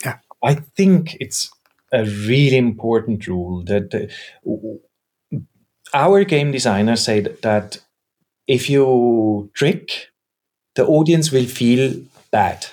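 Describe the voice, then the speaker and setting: A man's voice: mid-range voice, expressive, slow, darkish tone. A man whose first language is German, answering interview questions in a searching, reflective way as a theater maker. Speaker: theater maker and game co-creator.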